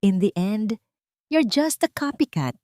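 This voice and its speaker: A woman voicing a jealous, contemptuous socialite villainess with a sharp, disdainful delivery in an audiobook melodrama. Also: medium pitch, big pitch swings, a little slow, no echo, clean studio sound, pitched above the performer's own voice. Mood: scornful.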